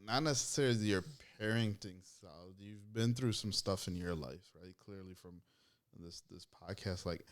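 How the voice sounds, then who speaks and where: A man's voice: low-pitched, moderate pace. A young man, a podcast guest whose first language is not English, talking loosely and reflectively in slangy casual chat.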